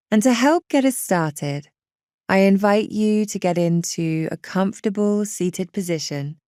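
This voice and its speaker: A woman leads a guided meditation in a soft-edged, relaxed voice. She speaks very slowly and reassuringly, with a smooth, clean tone. Her manner stays gentle and unhurried throughout. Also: on the low side, no room sound, studio quality.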